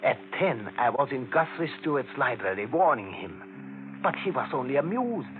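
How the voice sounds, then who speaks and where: A man playing an anxious, evasive waiter with a halting, formal, brooding manner in a vintage radio thriller. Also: mid-range voice, big pitch swings, slight background noise, lightly acted. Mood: grim, haunted. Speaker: actor. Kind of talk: 1940s radio thriller acting. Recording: tinny vintage radio recording, music behind.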